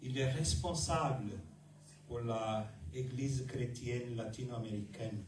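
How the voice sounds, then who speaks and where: Brazilian-accented male pastor, unhurried sermon delivery, picked up from far off in an echoey hall. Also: mid-range voice, darkish tone, a little hiss or hum. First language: Portuguese. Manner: slow, measured delivery with pauses between short phrases.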